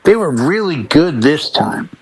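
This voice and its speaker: A man's voice: fairly high pitch, big pitch swings, measured pace, over a noisy crowd, poor audio. A man in a casual, opinionated panel discussion, speaking emphatically and insistently and acting out quotes as he tells stories. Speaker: podcast panelist.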